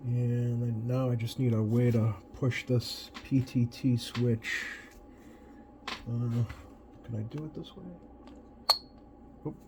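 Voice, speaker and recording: A man's voice: medium pitch, steady tone, takes it slowly, long pauses. A man thinking aloud in casual hands-on commentary, muttering to himself as he tinkers. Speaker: radio hobbyist doing bench tests. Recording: noisy, with clear background noise.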